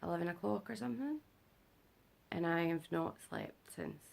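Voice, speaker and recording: A young British woman talks to camera in a low, deep register, her voice heavily gravelly and rough from illness. She rambles through a casual update full of fillers, sounding worn out and short on sleep. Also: lively intonation, normal speed, darkish tone. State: unwell and sleep-deprived. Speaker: vlogger. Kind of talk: casual health update vlog.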